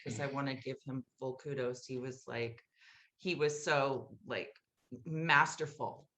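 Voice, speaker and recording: A woman's voice: extremely low pitch, fairly even intonation, measured pace, a bit bright. A woman chatting casually as an interview guest, emphatic and repeating herself to make a point. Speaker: guest, theatre director. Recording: roomy echo on the voice.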